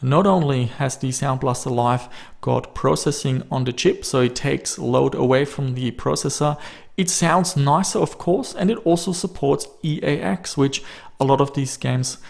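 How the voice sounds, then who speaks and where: A man's voice: mid-range voice, moderate pace, vocal fry, darkish tone. A man giving an explanatory voice-over as a tech reviewer. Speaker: tech reviewer narrating his video.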